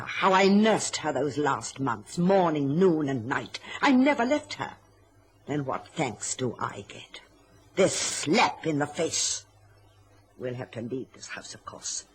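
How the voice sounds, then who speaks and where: A woman's voice: extremely low pitch, a little hiss or hum, heavily acted. An actress playing a bitter, scheming, self-pitying middle-aged mother in an old radio drama, with an indignant, martyred, theatrical manner. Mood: aggrieved.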